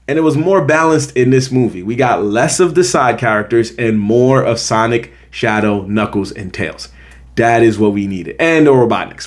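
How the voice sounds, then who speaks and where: An American man hosting a film review, talking casually and emphatically, repeating his points to drive them home. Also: mid-range voice. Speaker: film review show host. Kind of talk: casual opinionated movie review to camera.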